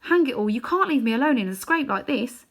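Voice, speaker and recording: A woman's voice: on the high side, lively intonation, brisk, pitched above the performer's own voice. Worried cross little boy, woman doing his lines, pleading and huffy. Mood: pleading indignant. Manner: pleading, exasperated. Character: anxious, indignant young boy.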